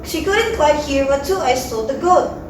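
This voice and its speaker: A woman's voice: high voice, lively intonation, moderate pace. A woman narrating a scripted fairy tale in a readers theater style. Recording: very echoey room, distant mic, clear noise.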